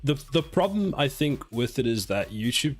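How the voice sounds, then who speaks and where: A man's voice: mid-range voice, very animated, moderate pace, soft background music. A man in his forties from South Africa, with a South African accent, chatting casually with viewers while working on a game during a live stream. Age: 40s. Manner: reads chat aloud and answers, rambling and self-mocking.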